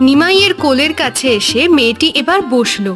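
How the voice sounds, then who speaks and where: A woman narrates a cartoon fairy tale with a calm, soothing, fairly high voice. Soft music plays under her. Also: brisk. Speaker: narrator and voice of the laborer's wife. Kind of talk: storybook narration and acting.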